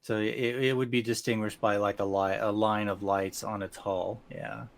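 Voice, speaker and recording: A man improvising narration as game master of a tabletop game, halting and often rephrasing mid-sentence. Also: fairly low pitch, flat delivery, creaky.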